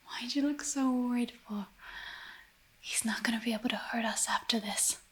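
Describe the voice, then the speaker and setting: A woman playing a scheming, seductive femme fatale in an ASMR noir radio drama, speaking in a soft, breathy, coaxing whisper. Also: a little high, close to an everyday voice. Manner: slow, intimate, coaxing.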